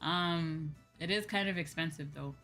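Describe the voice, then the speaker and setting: A woman with a slightly low voice talks casually as a livestream host. She rambles and thinks aloud, often repeating a point as she goes. Faint music plays behind her. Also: normal speed, a bit bright. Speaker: livestream host and virtual streamer. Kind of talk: casual livestream chat with viewers. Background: background music.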